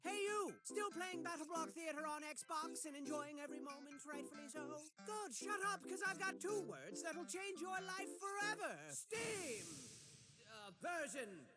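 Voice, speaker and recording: Casting: theatrical mock-genteel storybook narrator, manic and comic, wildly swooping delivery over loud music. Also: male voice, extremely high pitch, lively intonation, unhurried. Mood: bombastic, mocking. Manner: hammy, mock-genteel, swinging from hushed to shouting. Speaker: narrator and announcer. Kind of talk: comic scripted cartoon narration. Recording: music under the voice.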